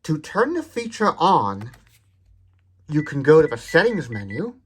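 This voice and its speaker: A man's voice: fairly high pitch, huge pitch swings, a little slow, slight background noise. A man giving an explanatory product demonstration in a methodical, precise way, stressing key words. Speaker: tech demonstrator.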